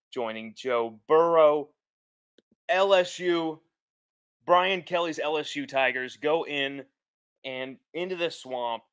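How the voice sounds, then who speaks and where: Guy in his 30s doing football postgame reaction, mid-pitched voice, choppy emphatic delivery. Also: fairly bright tone, clear, resonant tone. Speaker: host of a college football postgame show. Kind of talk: sports reaction commentary to webcam. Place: small living room, indoors.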